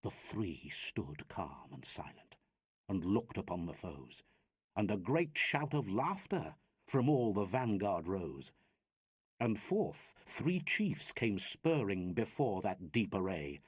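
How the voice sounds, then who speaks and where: A man performs narrative verse with theatrical, declamatory weight. His husky voice moves at a very measured pace and swings widely in pitch. The sound is close and dry. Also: big pitch swings, dry recording. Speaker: reader of narrative poetry. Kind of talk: dramatic recited narrative poem.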